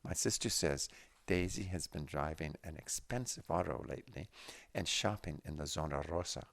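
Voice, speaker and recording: Man reading as a grim, heartbroken young man, gravelly. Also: very deep voice, moderate pace, a bit bright, dry recording, full character voice. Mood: bitter, resigned. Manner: cynical, resigned muttering. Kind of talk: storybook dialogue reading.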